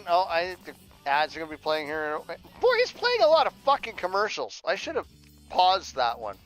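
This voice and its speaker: A man with a fairly high voice that swings up and down as he talks. He rambles in a casual livestream style, half muttering to himself and swearing offhandedly. Faint music plays behind him. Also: fairly bright tone, rough recording. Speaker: streamer and audio drama scriptwriter. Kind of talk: casual livestream chat while working.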